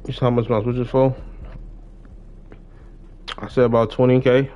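A man's voice: mid-range voice, flat delivery, normal speed, mellow, dull audio. A young adult man chatting casually in street slang as he streams live gameplay. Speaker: game streamer.

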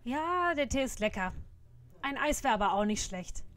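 Lady doing a sweet devoted teen girl, fairly high voice, comedy audio drama. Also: expressive, moderate pace, fairly bright tone. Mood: sweet, compliant.

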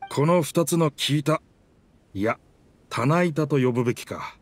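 An actor voicing a proud, dramatic talking pirate ship in an anime video game, in pompous, rough masculine speech. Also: male voice, on the high side, unhurried. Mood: wistful, subdued. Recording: music behind the voice.

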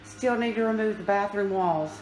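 A woman's voice: mid-range voice, moderate pace, darkish tone. Echoey woman, casual. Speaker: home renovation vlogger. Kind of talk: casual walk-through narration. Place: unfinished house under renovation, indoors. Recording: echoey bare rooms, background noise.

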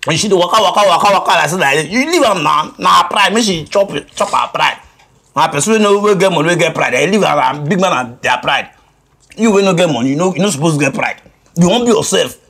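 A Nigerian actor playing a talkative, scoffing friend who exclaims and repeats words for emphasis in film dialogue. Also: male voice, on the high side, lively intonation, quick pace, bright, forceful tone, breathy edge, exaggerated voice. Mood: animated scoffing. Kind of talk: comedic film dialogue in Pidgin.

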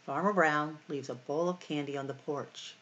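A middle-aged woman hosting a library storytime, reading picture books aloud in a deliberate, expressive way for young children. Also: low-pitched, muffled recording. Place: small room at home or office, indoors.

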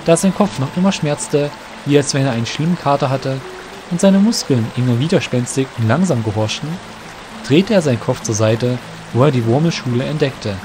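A man narrates a scripted fantasy audio play. His voice sits at an average pitch for a man. Music is clearly heard beneath the storytelling. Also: fairly quick.

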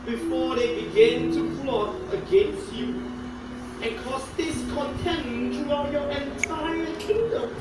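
An actor playing a vain, scheming royal official in a stage play, theatrical, menacing and gloating. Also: mid-range voice. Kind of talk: live stage play acting. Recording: echoey stage from far off, loud music. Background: loud music.

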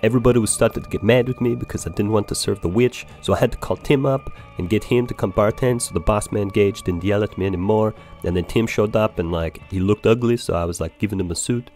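A man improvising in-character game roleplay, swearing and ranting in an excitable way. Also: on the low side, quick pace, few pauses, faint music behind. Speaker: roleplayer playing a bar owner.

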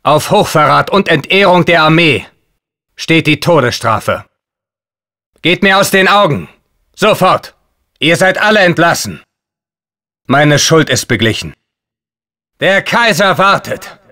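A man's voice: on the high side, animated, unhurried, long pauses, pushed and bright, full character voice. A man voicing a stern, proud young army captain in a video game, with a commanding, clipped military delivery. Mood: calm, grateful.

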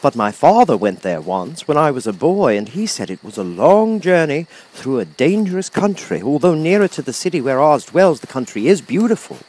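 A man voicing a gentle, protective man made of tin in a storybook. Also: fairly high pitch, big pitch swings, normal speed, few pauses, breathy edge, pitched above the performer's own voice, rough recording. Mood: reassuring. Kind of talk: storybook character voice.

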